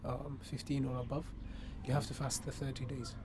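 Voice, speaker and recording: A man's voice: mid-range voice, flat delivery, moderate pace, darkish tone. Young man in a noisy interview. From Ethiopia. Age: young adult. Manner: hesitant, careful with wording. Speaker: interview guest, international student. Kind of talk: conversational interview answers. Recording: clearly noisy background ambience.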